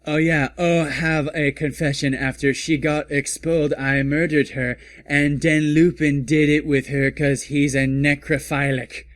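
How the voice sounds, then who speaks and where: A man voicing a perky, excitable gothic teenage girl sidekick with a bubbly, exclamatory manner in a comic story. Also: fairly high pitch, a little slow, hardly any pauses, dry recording, close to an everyday voice. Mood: eager confession.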